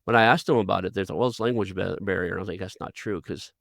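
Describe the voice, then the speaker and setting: Man, Oklahoma accent, very deep voice, gravelly fry, dry close sound, rambling podcast host. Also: fast talker, a bit bright, no echo. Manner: rambling, folksy, restarts often. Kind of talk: conversational interview.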